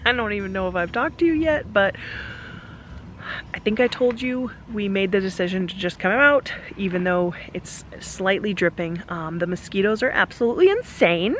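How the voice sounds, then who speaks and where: A woman vlogging at a campground in casual chat, hoarse from a cough that is taking her voice, laughing often and filling her talk with "like" and "um". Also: mid-range voice, animated, moderate pace, over music. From Alaska. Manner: chatty, laughs often, lots of fillers. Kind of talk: casual vlog talk to camera. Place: outdoors at a campground.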